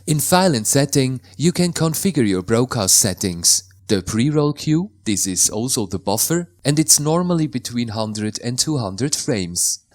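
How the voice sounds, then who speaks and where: A man from Switzerland, a native Swiss German speaker with a Swiss German accent, calmly explaining how to install and use software in a tutorial voice-over, with slightly non-native phrasing. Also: mid-range voice, dry recording. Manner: careful, plain instructional delivery in slightly non-native English.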